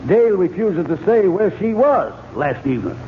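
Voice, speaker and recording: An actor playing a stern, plain-spoken American sheriff in an old-time radio western. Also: male voice, high-pitched, very animated, normal speed, slight background noise. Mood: grave, suspicious. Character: stern, folksy western sheriff, measured and suspicious. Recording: tinny, band-limited old radio recording.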